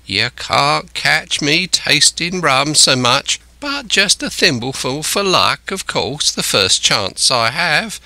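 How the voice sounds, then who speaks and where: A storybook castaway pirate, half crazed by solitude. The voice is high, bright and drawn out, swinging about as it rambles in rustic seafaring speech, sly, childlike and wheedling. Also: male voice, big pitch swings, slow pace, hardly any pauses, no room sound, exaggerated voice. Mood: rambling, pious.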